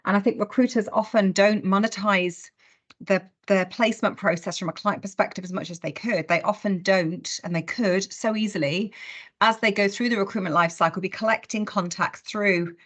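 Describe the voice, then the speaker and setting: A woman's voice: mid-range voice, steady tone, moderate pace, fairly bright tone. A woman hosting a casual business conversation in a chatty way with wry humour. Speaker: host of a recruitment industry discussion.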